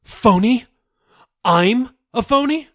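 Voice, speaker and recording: A man voicing a furious twenty-one-year-old daughter who shouts at her father in a high, strained voice. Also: lively intonation, takes it slowly, heavily acted. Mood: defiant.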